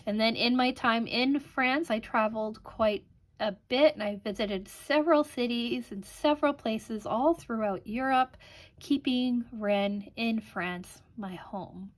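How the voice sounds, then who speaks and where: A woman in her thirties from Canada, hosting a crafting channel and chatting casually about her life, rambling with frequent ums. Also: on the high side. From Canada (Alberta). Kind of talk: casual vlog chat.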